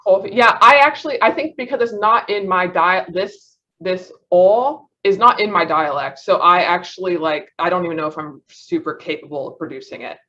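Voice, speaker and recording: A woman's voice: on the low side, moderate pace, hardly any pauses, bright, forceful tone, lo-fi sound. A woman student presenting a phonetics lesson over a video call, hesitant, often restarting and laughing at herself. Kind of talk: class presentation.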